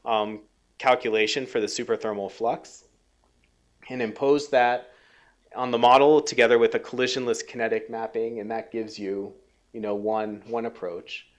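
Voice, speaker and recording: A man's voice: medium pitch, fairly even intonation, highs cut off. Guy doing a science talk, lots of ums, restarts, bit of a tired voice. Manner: halting, many ums and restarts. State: losing his voice a little. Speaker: scientist giving a research talk. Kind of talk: technical lecture.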